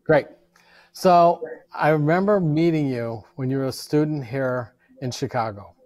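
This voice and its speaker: A man's voice: a little high, measured pace, darkish tone. A middle-aged man hosting a fireside chat from a lecture hall, speaking into a lapel mic, making welcoming remarks with a few restarts. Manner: friendly opening remarks with some restarts. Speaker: host, professor. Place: large empty lecture hall.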